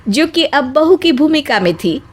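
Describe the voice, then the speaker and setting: A woman narrator reading a scripted story in an expressive storytelling style. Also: high voice, a little slow.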